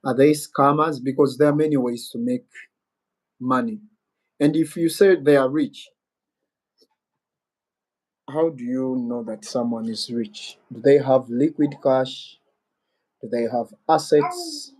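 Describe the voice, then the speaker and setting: Male live-streamer with a Ugandan accent, slow and rambling, voice in a middle register. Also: mid-range voice, expressive, a little mellow, low-quality recording. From Western Uganda. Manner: rambling, muses aloud and reads viewer comments. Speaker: YouTuber hosting a live Q&A. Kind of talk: casual live-stream chat.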